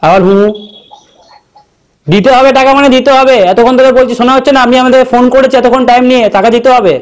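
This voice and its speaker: A man's voice: very high voice, fairly even intonation, fast talker. A man with a Bengali accent making an improvised prank phone call, talking in a pushy, insistent way. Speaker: prank caller.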